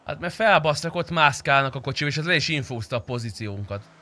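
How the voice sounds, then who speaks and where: A man's voice: on the high side, lively intonation, bright, forceful tone. A young man chatting casually while livestreaming a game, blunt and slangy with frequent swearing. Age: young adult. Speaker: game streamer. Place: indoor streaming room.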